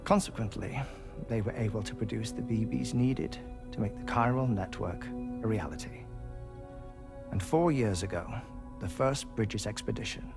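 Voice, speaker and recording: An actor playing a measured, formal investigator who explains things deliberately and courteously in a video game cutscene. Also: male voice, lowish voice, vocal fry. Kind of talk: dramatic expository game cutscene dialogue.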